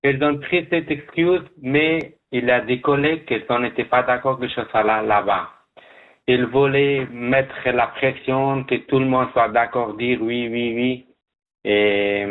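A man from Afghanistan, speaking as a guest in a radio interview, halting, with many restarts. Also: medium pitch, phone-quality sound. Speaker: guest job seeker.

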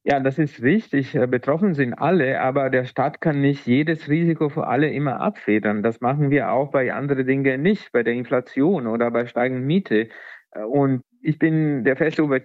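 A man's voice: mid-range voice, moderate pace, dry recording, phone-quality sound. A man from Italy with an Italian accent, a non-native speaker, answering interview questions as an economist guest, hesitant and full of filler sounds.

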